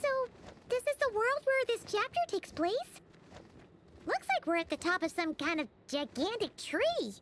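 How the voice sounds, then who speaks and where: A woman voicing a small, cheeky, childlike fairy companion in a video game, with a squeaky voice and a teasing, exclamatory manner. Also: sing-song, normal speed, slight background noise. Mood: surprised. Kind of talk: video game character acting. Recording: music behind the voice.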